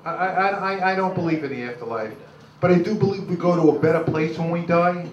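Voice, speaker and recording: Older New York comic, distant echoey recording. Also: male voice, on the high side. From Brooklyn, New York, USA. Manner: halting, self-deprecating, rambling delivery. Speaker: stand-up comedian. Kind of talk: live stand-up comedy, crude jokes. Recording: dull, noisy, echoey club recording from afar.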